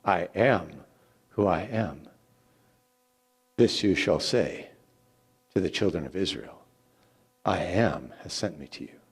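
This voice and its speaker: A man voicing a solemn, commanding male God who speaks with deliberate authority in a dramatic scripture reading. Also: sits low, expressive, breathy edge, heavily acted. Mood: solemn, majestic.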